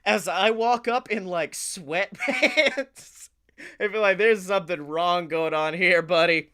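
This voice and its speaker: An American man with a bright, forward voice hosts a comedy podcast. He tells casual, funny stories in an animated way, swearing freely and chuckling as he talks. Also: high voice, slow pace, clear, resonant tone. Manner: animated, swearing, often chuckling while talking. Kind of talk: casual comic storytelling.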